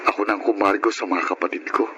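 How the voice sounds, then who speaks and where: A man's voice: fairly low pitch, steady tone, quick pace, pushed and bright, dull audio, slight background noise. A man with a Filipino accent playing a humble, hardworking older brother who speaks politely and earnestly in a radio drama. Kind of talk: Filipino radio drama acting and first-person narration. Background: music.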